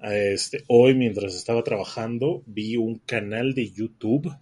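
A man's voice: on the low side, slow pace, darkish tone, breathy edge. A man with a northern Mexican accent chatting casually as a podcast co-host, rambling with lots of fillers and restarts. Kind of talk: casual chat.

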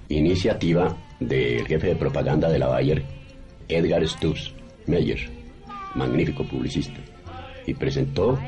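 A man recounting radio history from memory as a historian. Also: rumbling low.

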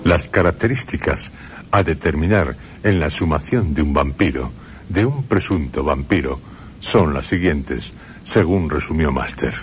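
A man's voice: extremely low pitch, lively intonation, a little slow, slight background noise. A man with a Castilian Spanish accent presenting dramatized radio narration in a theatrical, questioning manner.

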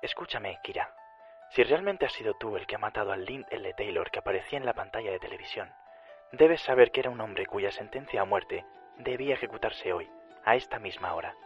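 Dull transmitted sound, calculating male detective, acted by a man, lowish, measured, composed, radio drama. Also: soft background music, natural voice. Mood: intrigued, challenging. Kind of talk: anime radio drama acting.

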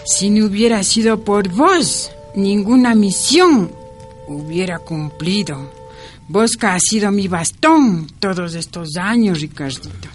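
Slow-speaking, weary old Andean woman. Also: medium pitch, expressive, faint music behind. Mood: wistful, tender. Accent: Ecuadorian Andean. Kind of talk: radio drama acting.

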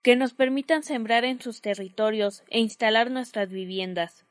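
Mujer haciendo de explorador chino, voz algo aguda. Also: female voice, fairly high pitch, moderate pace, no echo, lightly acted. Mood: calculating, persuasive. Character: polite, calculating Chinese explorer leading a fleet. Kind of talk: radio drama acting.

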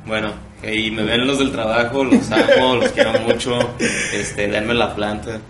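A man's voice: on the low side, lively intonation, unhurried. A man in his twenties with a Mexican accent, chatting casually and jokingly in slangy talk as a podcast co-host. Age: 20s. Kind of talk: casual banter chat. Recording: roomy echo, music behind.

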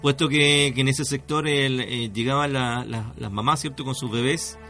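A man's voice: mid-range voice, steady tone, normal speed, bright, forceful tone, soft background music. A man with a Chilean accent hosts a conversational radio interview, hesitating often and tagging phrases with checking questions. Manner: hesitant, often adds "cierto" tags. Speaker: radio host.

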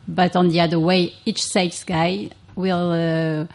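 A woman explaining in English, halting with many fillers. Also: fairly low pitch, level tone, a little mellow. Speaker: interview guest.

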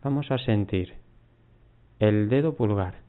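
Male meditation guide, lowish pitch, very slow delivery with long pauses between short instructions, body scan style. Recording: muffled, phone-like narrow band.

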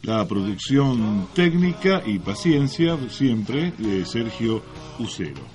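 A man's voice: mid-range voice, very animated, slow, darkish tone. A man with an Argentine accent co-hosting a casual radio show in a wry, tongue-in-cheek manner. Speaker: radio host. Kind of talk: casual co-hosted radio talk. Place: radio studio.

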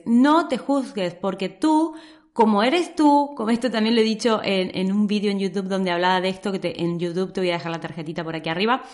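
A woman from Córdoba, Argentina, with a Córdoba Argentine accent mixed with some Spain Spanish words, giving direct, encouraging advice straight to camera in a vlog. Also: a little high. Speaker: social media coach.

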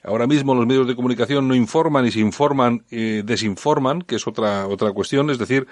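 A man's voice: medium pitch, a little fast, no echo. A man in his fifties from Bilbao hosting a talk radio discussion, rambling and correcting himself as he goes. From Bilbao, Spain. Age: 50s. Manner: rambling, self-correcting, laughs easily. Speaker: host.

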